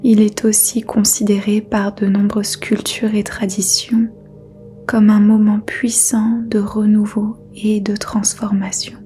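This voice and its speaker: A woman whispering a guided yoga nidra meditation as its teacher, soft and unhurried throughout. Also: medium pitch, little pitch movement, soft background music.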